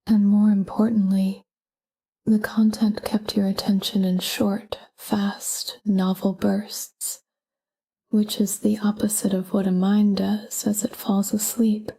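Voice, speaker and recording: Breathy whispering woman guiding sleep, very slow. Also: medium pitch, low-quality recording. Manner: calm, gentle, unhurried with short phrases. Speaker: sleep meditation guide. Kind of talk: whispered guided sleep meditation.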